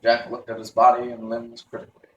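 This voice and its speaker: A man's voice: medium pitch, monotone, fast talker, fairly bright tone, muffled recording. A man narrating a children's novel as he reads it aloud. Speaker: story reader. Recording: roomy, noisy, distant microphone.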